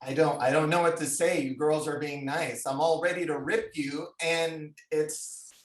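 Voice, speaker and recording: A man's voice: fairly high pitch, fairly even intonation, normal speed. A man appearing as a talk show guest, bantering casually in a grumbling, teasing way, recorded with echoey room sound.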